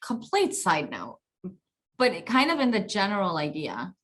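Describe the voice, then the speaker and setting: A woman talk show host from Colombia, speaking at a medium pitch. She talks casually and reflectively, drawing on her own experiences. She often circles back and restarts her sentences as she thinks aloud. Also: wildly swooping pitch, normal speed, a bit bright. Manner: reflective, personal anecdotes, frequent restarts. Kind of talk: casual conversational interview.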